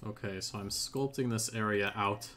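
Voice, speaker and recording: A man's voice: on the low side, fairly even intonation, moderate pace, sharp tone. A man hosting a game developer's live stream, chatting casually and thinking aloud with plenty of fillers while he works. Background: background music.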